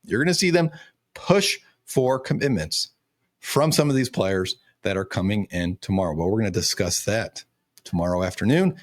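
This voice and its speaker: A man's voice: fairly low pitch, expressive, normal speed. A man in his thirties hosting a sports commentary show, speaking emphatically while his voice cracks as if he is losing it. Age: 30s. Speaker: sports show host and recruiting analyst. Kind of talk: college football recruiting commentary.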